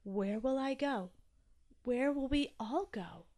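A small, anxious baby tooth from a children's story, played by a woman. His voice sits high, and he talks in a naive, polite way. There is a worried, pleading edge to his manner. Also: animated, normal speed, a bit bright. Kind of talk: acted children's story dialogue.

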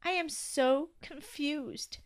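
A small, anxious baby tooth from a children's story, played by a woman. His voice sits high, and he talks in a naive, polite way. There is a worried, pleading edge to his manner. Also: expressive, moderate pace, fairly bright tone. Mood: confused, pleading. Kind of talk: acted children's story dialogue.

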